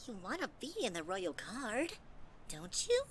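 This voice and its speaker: A woman's voice: medium pitch, big pitch swings, a little slow, a crowd in the background. A woman voicing a small male talking flower in an audio drama, sing-song and falsely sweet, with menace under the friendliness. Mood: coaxing, controlling. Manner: sing-song mock friendliness, taunting, swinging into threats. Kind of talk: scripted audio drama acting.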